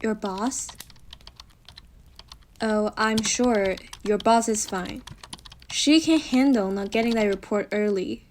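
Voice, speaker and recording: A woman's voice: medium pitch, slow, long pauses, a little hiss or hum, lightly acted. A woman voicing a needy, bossy, playful wolf girlfriend in an intimate roleplay audio, pouty and teasing as she speaks straight to the listener. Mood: coaxing, teasing. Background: computer keyboard typing.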